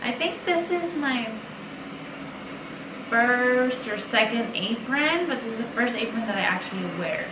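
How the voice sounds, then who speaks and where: A woman's voice: a little high, expressive, unhurried. A young woman whose first language is Spanish, rambling casually and informally while making a home cooking video in her kitchen. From Mexico. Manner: rambling, informal, self-deprecating. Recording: echoey kitchen with background noise.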